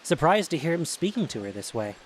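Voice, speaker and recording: A man's voice: on the high side, very animated, fairly quick, a little hiss or hum. A man narrating a scripted audio drama as its storyteller.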